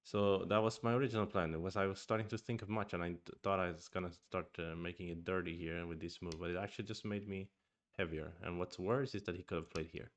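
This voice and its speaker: A man's voice: low voice, fairly even intonation, fast talker, few pauses. A man streaming a review of his own go games, thinking aloud in a casual chat with murmured asides and restarts; his first language is Swedish.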